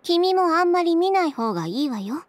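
A woman's voice: extremely high pitch, very animated. A woman voicing a proud, cool-headed young fashion designer who speaks bluntly and with self-assurance in an anime game. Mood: dismissive. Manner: direct, brisk, self-assured. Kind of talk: Japanese anime game voice acting.

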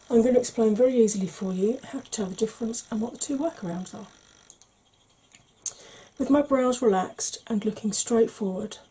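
A woman in her forties, a makeup vlogger, talking through an ASMR makeup tutorial slowly and gently in a soft, breathy voice that often drops to a whisper, recorded at home. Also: medium pitch, poor audio. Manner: slow, gentle, patient explaining. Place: small home room.

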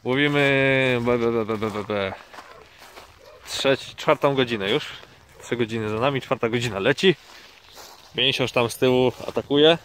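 A man's voice: medium pitch, moderate pace, pauses often, a bit bright. A chatty man narrates his fishing trip into a head-mounted action camera on a grey riverbank. His voice sits in a middle register, with faint outdoor noise in the background. He jokes around and often restarts his sentences. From Poland. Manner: chatty, joking, hesitant restarts. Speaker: fishing vlogger. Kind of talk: casual vlog commentary. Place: outdoors by a river on an overcast day.

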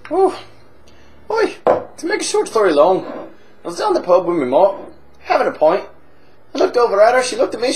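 A boozy, foul-mouthed Irish man holds court at the bar, as a performer puts him on. The brogue is broad and the voice swings wildly from shrill squeaks to low mutters. He swaggers with crude good cheer. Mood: boisterous. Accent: put-on Irish. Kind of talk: comic character monologue.

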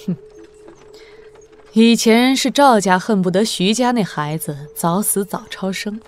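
An actress playing a shrewd, worldly, imperious woman sovereign in a martial-arts audio drama, with deliberate, sardonic delivery. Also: mid-range voice, close to an everyday voice. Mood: scornful, shrewd. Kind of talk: Chinese wuxia audio drama acting.

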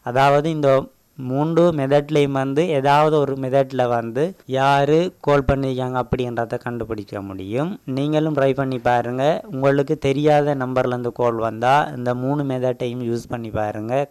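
A man's voice: medium pitch, steady tone, moderate pace, darkish tone, clear, resonant tone, no room sound. A man hosting a tech channel, explaining a phone tutorial step by step in a casual voice-over with a Tamil accent and a repeated filler word.

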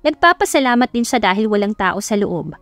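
A woman's voice: medium pitch, sing-song, a little fast, fairly bright tone, no room sound, soft background music. A woman with a Filipino accent reading a scripted story as an audiobook narrator.

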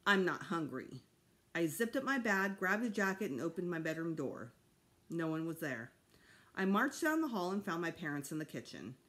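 A woman's voice: fairly low pitch, very animated, normal speed, fairly bright tone. A middle-aged woman reading a children's storybook aloud expressively at home, like a teacher. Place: small room at home.

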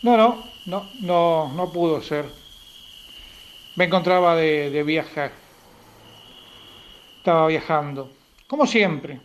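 A man's voice: on the high side, takes it slowly, long pauses. A man playing a grumpy, impatient traveller in a radio play, curt and exasperated, often repeating himself. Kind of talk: amateur radio play acting. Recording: faint hiss with night crickets. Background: crickets, night ambience.

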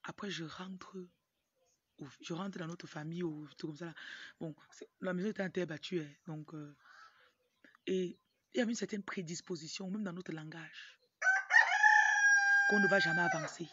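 A woman's voice: lowish voice, moderate pace, pauses often, pushed and bright, breathy edge. A young woman with a Cameroonian French accent gives an informal motivational talk to camera, often breaking off into asides. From Cameroon, grew up in Tonga. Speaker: motivational speaker, livestream host. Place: outdoors in a yard. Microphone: phone at arm's length. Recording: muffled, noisy outdoor phone recording. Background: rooster crowing, background noise.

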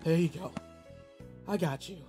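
A man's voice: fairly high pitch, moderate pace, dark tone, soft background music, close to an everyday voice. A man playing a brusque, anxious young student bully who secretly cares, in an audio roleplay drama with emotional delivery. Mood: tender, worried.

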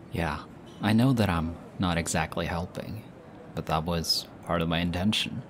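A young man voicing an affectionate, teasing boyfriend in an audio roleplay, speaking softly and hushed. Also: sits low, measured pace, long pauses. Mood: tender, teasing. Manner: soft, hushed, teasing with light laughs. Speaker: voice actor. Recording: steady ambient background noise. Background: busy airport ambience.

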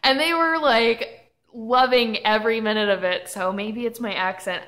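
A woman's voice: on the high side, normal speed, fairly bright tone. A young woman with a Southern US accent chats casually from a room at home. She is enthusiastic and a little rambling, and she laughs easily. The sound is from a webcam on her desk. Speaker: orchid channel host. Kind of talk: casual chatty vlog.

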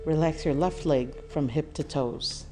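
Female meditation guide, low register, calm and deliberate delivery with pauses, over clearly audible music. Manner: soothing, measured, with deliberate pauses.

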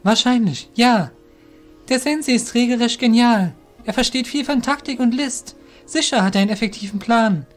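A man's voice: very high voice, normal speed, faint music behind, pitched above the performer's own voice. A man voicing an earnest, respectful, somewhat hesitant young shadow guild apprentice in a fantasy audio drama. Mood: respectful, insistent.

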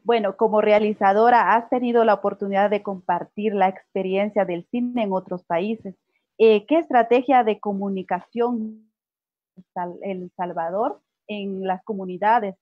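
A woman's voice: mid-range voice, steady tone, a little slow, no room sound. A woman moderating an online panel, asking long questions and rephrasing as she goes, heard over a video call. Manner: searching for words, rephrasing questions. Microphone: laptop webcam video call. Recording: dry, dull video-call audio.

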